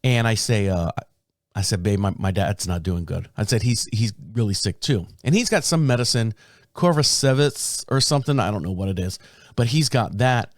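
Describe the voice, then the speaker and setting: A man hosting his own podcast in casual talk, a bit raspy from a sore throat, rambling and restarting his sentences. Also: normal speed, no room sound, studio quality. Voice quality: deep. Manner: rambling, self-interrupting storyteller. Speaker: podcast host. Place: small podcast studio. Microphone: studio microphone.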